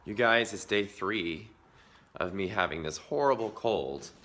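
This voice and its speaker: Hoarse, low-voiced man with a cold. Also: measured pace, a bit bright. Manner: chatty, confiding, partly whispered to save the voice. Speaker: vlogger. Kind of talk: casual vlog talk. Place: outdoors in the woods.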